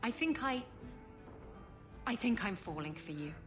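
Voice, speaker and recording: A woman's voice: mid-range voice, sing-song, a little slow, music in the background. An actress playing a flirtatious, sardonic pirate woman in a video game, sultry and teasing. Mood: tender, hesitant.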